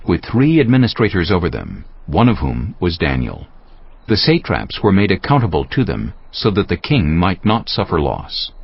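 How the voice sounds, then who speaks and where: A man's voice: low voice, husky. A man narrating scripture in a scripted, dramatic storytelling style. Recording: muffled sound with faint music underneath.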